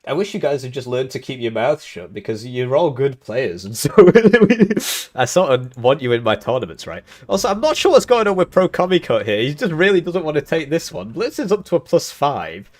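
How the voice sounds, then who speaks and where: A man's voice: medium pitch, big pitch swings, moderate pace. A young man hosting a live game tournament stream, talking casually with jokes and chuckles while commentating the match. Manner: rambling, joking, chuckling between remarks. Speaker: tournament host and caster.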